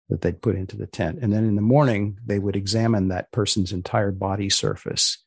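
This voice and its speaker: A man with a fairly low, creaky voice hosts a conversational podcast about research. He phrases things deliberately, with dry humor, and the slightly husky sound is captured very dry and close. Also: no echo. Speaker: podcast host.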